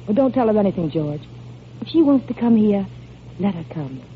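An actress playing a gentle, whimsical, genteel lady in a refined, theatrical 1940s radio style. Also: mid-range voice, animated, moderate pace, close to an everyday voice. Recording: muffled, noisy old radio recording.

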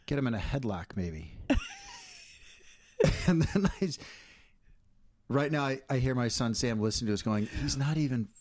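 A man's voice: medium pitch, expressive, moderate pace, long pauses, a little mellow. A middle-aged man co-hosting a podcast, chatting casually, teasing and swearing freely. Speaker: podcast co-host. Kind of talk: casual banter.

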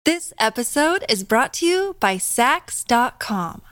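A woman's voice: fairly high pitch, very animated, takes it slowly, fairly bright tone, no room sound, clean studio sound. A woman reading a scripted ad in a polished, upbeat way. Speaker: ad announcer. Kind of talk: scripted ad read.

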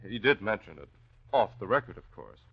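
An actor playing a calm, commanding crime fighter in a vintage radio drama, measured and wryly self-possessed. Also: male voice, fairly low pitch, vocal fry, breathy edge, exaggerated voice. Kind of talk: 1940s radio drama acting. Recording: dull, hissy old radio recording.

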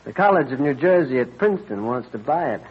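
Earnest colonial inventor, crisp old-radio sound. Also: male voice, mid-range voice, very animated, fast. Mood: earnest, gentle. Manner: eager explaining, mild hesitations. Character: earnest, absorbed colonial clockmaker and astronomer husband. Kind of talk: old-time radio drama acting. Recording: dull vintage radio recording.

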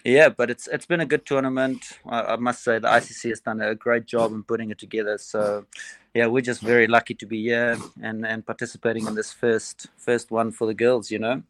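A man with a South African accent, an assistant cricket coach speaking casually in a phone interview, relaxed and hesitant with frequent ums. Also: medium pitch, fairly quick, a little hiss or hum.

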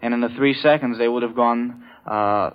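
A male quiz contestant thinking aloud in a hesitant, methodical, halting way on a vintage radio recording. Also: mid-range voice, fairly even intonation, clean tone, dull audio. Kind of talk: thinking aloud through arithmetic.

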